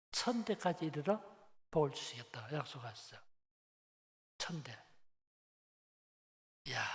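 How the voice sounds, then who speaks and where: Older Korean preacher in a reverberant hall. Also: male voice, on the high side. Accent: Busan Gyeongsang. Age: 70s. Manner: colloquial, rhetorical questions to listeners, repeats phrases for emphasis. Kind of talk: Sunday afternoon sermon, conversational storytelling.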